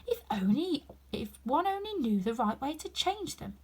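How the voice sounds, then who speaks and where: A woman's voice: sits high, big pitch swings, normal speed, pitched above the performer's own voice. A woman voicing a polite, earnest and curious young girl in a storybook, in a prim, questioning manner. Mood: musing.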